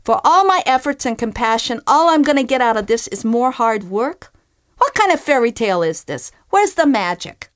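A woman's voice: fairly high pitch, sing-song, moderate pace, a bit bright, dry recording, clean studio sound, pitched above the performer's own voice. Woman doing a whiny, lazy fisherman. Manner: complaining, entitled. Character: lazy, greedy poor male fisherman, whiny.

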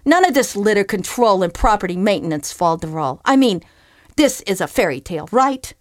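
A woman playing a poor male fisherman, whiny and entitled, raised and loud, dry sound. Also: mid-range voice, animated, moderate pace, no echo, close to an everyday voice. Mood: indignant. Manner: complaining, entitled.

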